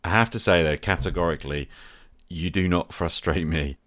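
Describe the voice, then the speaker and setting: A man's voice: low-pitched, normal speed, fairly bright tone, dry recording, studio quality. A man hosting a podcast, talking conversationally in an earnest, reflective manner. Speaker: podcast host.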